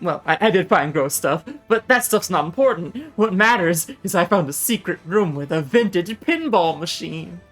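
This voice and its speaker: A woman's voice: on the low side, expressive, moderate pace, hardly any pauses, voice pushed down. Earnest, rambling game buddy, male and a bit dim woman performing, faint music underneath. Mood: eager. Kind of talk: voiced reading of comedy game dialogue.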